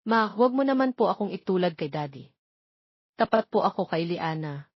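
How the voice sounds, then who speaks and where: Woman as a defensive young boyfriend, husky. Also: fairly low pitch, expressive, normal speed, dry recording, dull audio. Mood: placating. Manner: cold, defensive, threatening. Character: defensive, cold young man torn between two women.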